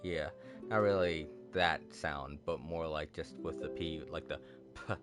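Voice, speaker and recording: A sleepy man chatting casually on a livestream, rambling and halting with many fillers, self-deprecating. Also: rumbling low, over music. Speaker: streamer. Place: home room with a TV. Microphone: cardioid desk microphone.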